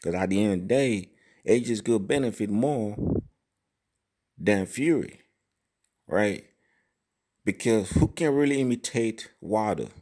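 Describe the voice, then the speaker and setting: A man with a fairly low voice delivers casual boxing commentary to his subscribers. He swears freely and keeps asking whether you know what he means. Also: expressive, measured pace, pauses often, background noise. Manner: profane, repetitive, keeps saying "you know what I mean". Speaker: boxing channel host.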